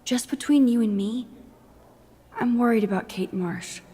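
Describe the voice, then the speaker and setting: An actress playing a shy, caring young student in a video game, in a naturalistic style. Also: female voice, fairly high pitch, unhurried, dark tone, slightly rough. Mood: worried. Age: young adult. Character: earnest young female student, quietly caring, a bit shy.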